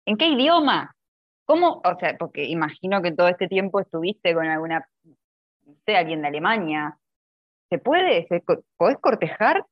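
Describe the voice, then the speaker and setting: A woman with an Argentine accent co-hosts, breaking in with playful, teasing remarks. Her voice is a little low, and her audio sounds dull and lo-fi. It is dry, with no room echo. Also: very animated. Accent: Argentine Spanish. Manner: playful, teasing, quick interjections. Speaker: co-host. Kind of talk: casual interview conversation.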